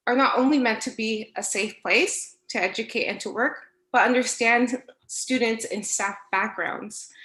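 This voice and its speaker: A young woman, a Spanish native speaker, reading a prepared statement to a board as an instructional assistant, earnest and measured. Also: a little high, fairly bright tone, roomy sound, low-quality recording. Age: young adult.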